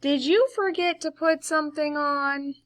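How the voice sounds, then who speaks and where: A woman voicing a loud, nagging mother frog in a storybook, calling out to her child with drawn-out words. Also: high-pitched, no echo, clean studio sound, voice pushed up. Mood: calling loudly.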